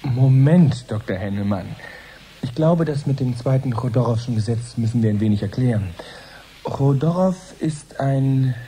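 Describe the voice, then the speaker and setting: An actor playing a courteous, formal presenter hosting a live science broadcast in a radio drama. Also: male voice, medium pitch, animated, normal speed, mellow, husky, rough recording. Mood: polite, composed. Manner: polite, formal, orderly.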